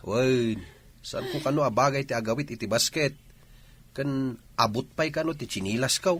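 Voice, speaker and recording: A man's voice: medium pitch, normal speed, a bit bright, husky. A man playing a mildly aggrieved Ilocano village husband, talking conversationally in a comedy radio drama. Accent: Filipino, Ilocano.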